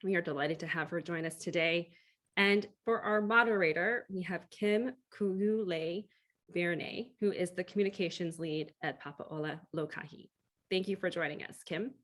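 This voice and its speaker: Woman host with a lowish voice, formal and welcoming, reading an intro. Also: moderate pace, fairly bright tone. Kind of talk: read introduction.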